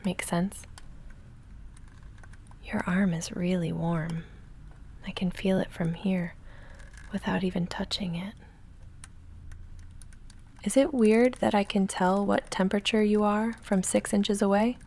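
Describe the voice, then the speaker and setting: A woman playing an awkward, secretly affectionate young goth vampire roommate in an intimate ASMR roleplay, speaking softly and haltingly and often slipping into a whisper. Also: a little hiss or hum. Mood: shy, tender. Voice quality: soft, breathy whispering mixed with low murmured talk. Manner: halting, deadpan, self-conscious rambling.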